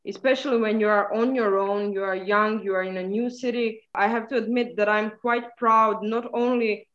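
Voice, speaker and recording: A woman's voice: mid-range voice, steady tone, normal speed, fairly bright tone, lo-fi sound. A young woman from Croatia, an undergraduate speaking earnestly in an interview. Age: young adult. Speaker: undergraduate student guest. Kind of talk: casual interview answers.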